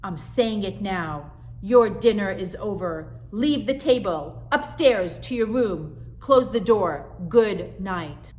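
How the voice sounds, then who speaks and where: A woman's voice: on the low side, lively intonation, very slow, gravelly, hardly any pauses, dark tone, exaggerated voice, low-quality recording. A woman voicing a stern, fed-up middle-aged mother who gives clipped, firm commands at the dinner table. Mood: scolding.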